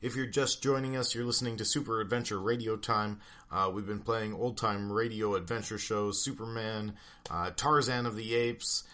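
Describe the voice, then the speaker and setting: A man's voice: lowish voice, bright, forceful tone. A man hosting a radio show in a casual, laid-back, slightly hesitant way.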